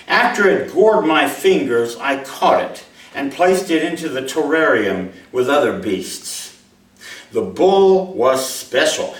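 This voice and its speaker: A man, a writer speaking to an audience at a live reading in an expressive way. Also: medium pitch. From Mobile, Alabama, USA. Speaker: writer reading his own short story. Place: indoor room at a live reading event. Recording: roomy, echoey hall, distant microphone.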